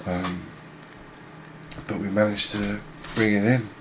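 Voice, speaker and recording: A man giving gentle, unhurried ASMR commentary over a cozy fishing game, with hesitant, understated phrasing. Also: on the low side, fairly even intonation, noisy background. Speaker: host, video game streamer.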